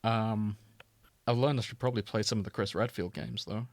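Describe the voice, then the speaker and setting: A man's voice: lowish voice, steady tone, fairly quick, no echo. A man hosting a podcast in a casual, conversational style. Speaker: podcast host. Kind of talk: casual chat.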